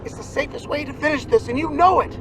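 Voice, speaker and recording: A man's voice: extremely high pitch, fast talker, background noise. A man playing an impassioned, defiant young soldier in a post-apocalyptic video game, arguing emphatically. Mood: heated, indignant. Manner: emphatic, argumentative, pleading, swearing. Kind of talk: video game cutscene acting.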